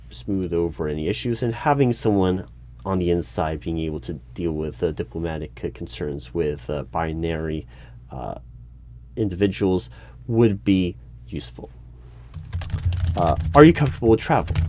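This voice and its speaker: Guy doing a deep voiced hr interviewer for a secret agency, officious n argumentative, kinda halting, audio roleplay. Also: a little mellow, a little hiss or hum. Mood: curious, businesslike. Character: exasperated, bureaucratic male hiring manager of secret agency. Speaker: solo roleplay performer. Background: computer keyboard typing.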